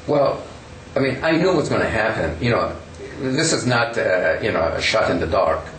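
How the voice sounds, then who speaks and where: A man's voice: on the low side, very animated, normal speed, a bit bright, a little hiss or hum. Man, interviewee, emphatic, filler-heavy, echoey room, distant mic. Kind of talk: casual recounting.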